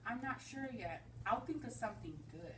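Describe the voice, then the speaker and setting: A woman's voice: on the high side, lively intonation, normal speed, close to an everyday voice. A woman voicing an outspoken, friendly young neighbour boy from a children's storybook. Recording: roomy, distant mic, faint noise.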